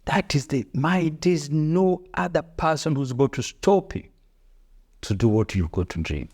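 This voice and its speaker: A man's voice: on the high side, expressive, measured pace, darkish tone, breathy edge. A middle-aged man with a Rwandan accent, co-hosting a podcast and giving earnest, preachy advice in broken English, repeating his key phrases. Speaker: podcast co-host, father. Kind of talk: casual motivational chat.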